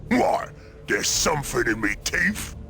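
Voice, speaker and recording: A man voicing a huge, brutish, taunting ork pirate boss in a video game, with a gravelly growl and a British accent. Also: mid-range voice, very animated, bright, forceful tone, faint music behind, heavily acted. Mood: mocking. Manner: crude slangy bellowing, drawn-out taunts.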